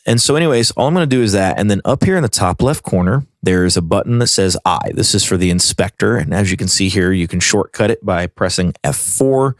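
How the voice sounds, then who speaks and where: A man presenting a software tutorial in a casual, informal way, explaining things step by step. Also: fairly low pitch, no room sound, clean studio sound.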